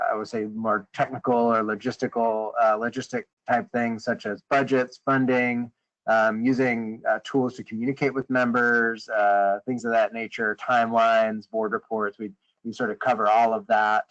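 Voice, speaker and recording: A man leading a conference call, briefing informally in a hesitant, halting way and often rephrasing mid-sentence. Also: lowish voice, flat delivery, a bit bright. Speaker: meeting leader on a conference call. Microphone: conference call line. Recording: dull, lo-fi conference call audio.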